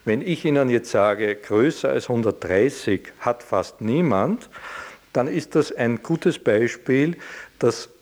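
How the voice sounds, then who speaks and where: A man explaining things in an interview as an academic, with measured, formal wording. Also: mid-range voice, expressive, darkish tone.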